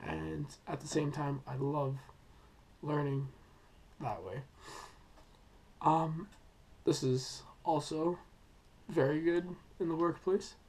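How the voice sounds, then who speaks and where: A young man who is sick and losing his voice, giving an unscripted school presentation with many pauses and fillers. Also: on the high side, a little mellow. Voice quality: hoarse. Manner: hesitant, many ums and uhs, thinking aloud. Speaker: student presenting a school project.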